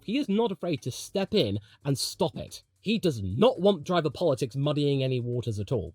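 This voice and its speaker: A man presents opinionated motorsport commentary in a slightly high, very lively voice. His tone is mellow, and he leans on emphatic rhetorical questions and wry asides. It reads like a scripted video essay from a show's host. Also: no room sound.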